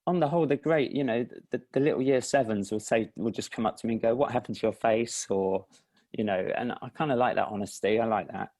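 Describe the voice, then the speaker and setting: A man with an Estuary English accent, talking as an indie filmmaker guest in a relaxed, self-deprecating interview chat. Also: medium pitch, quick pace, dry recording. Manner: relaxed, self-deprecating, with frequent restarts and laughs.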